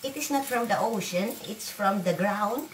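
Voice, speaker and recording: A woman's voice: medium pitch, moderate pace, a little hiss or hum. Rambling Filipino woman cooking in echoey kitchen. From Philippines. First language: Waray. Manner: rambling, blunt, swears freely. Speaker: vlogger. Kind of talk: casual cooking vlog. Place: home kitchen. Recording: echoey room from far off, loud sizzling.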